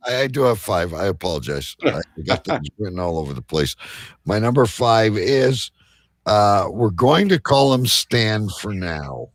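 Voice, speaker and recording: A man's voice: on the low side, sing-song, unhurried, dry recording, studio quality. A man hosting a live show with casual, jokey banter and a rambling manner. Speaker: host of a live online show.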